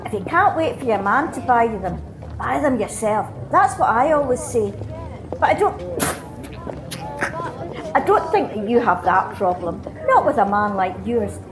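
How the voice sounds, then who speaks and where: Woman acting a lilting, high-voiced Scottish flower seller. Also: very animated, normal speed, long pauses, noisy background. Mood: friendly, wistful. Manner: chatty, gossipy. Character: chatty, admiring female flower seller, sniffly and wistful. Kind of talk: radio play monologue. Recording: street bustle behind voice.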